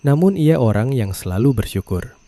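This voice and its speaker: Calm man with a dark, dry narration. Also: medium pitch, normal speed, dry recording. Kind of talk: scripted storytelling narration.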